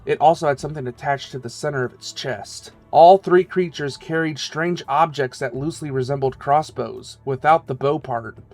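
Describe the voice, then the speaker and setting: A man reading scripted fiction as the narrator of a story. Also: mid-range voice. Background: music.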